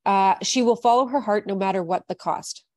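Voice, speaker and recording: A woman's voice: mid-range voice, fairly quick, a little mellow, no room sound. Bubbly laughing woman host, dry sound. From Canada. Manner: bubbly, laughs often, restarts sentences. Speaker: podcast host. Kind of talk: casual interview chat.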